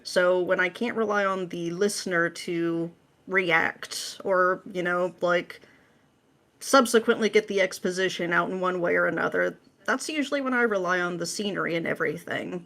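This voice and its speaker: A woman with a voice a little on the low side talks as a guest on a podcast. Her delivery is informal and candid, like relaxed conversation. She explains her views in a chatty way. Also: moderate pace, vocal fry. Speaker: podcast guest, script writer. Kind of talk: casual interview chat.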